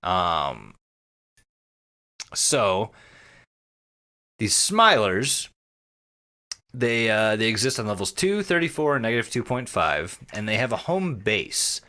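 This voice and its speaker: A man co-hosting a podcast, talking casually and describing things in an animated way with casual swearing. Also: fairly low pitch, takes it slowly, pauses often. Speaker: podcast co-host.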